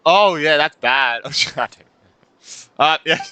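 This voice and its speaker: A young man giving casual live reactions to a game as a streamer, excitable, rambling and prone to swearing. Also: a little high, slow pace, smooth, no echo, lo-fi sound. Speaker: gaming streamer.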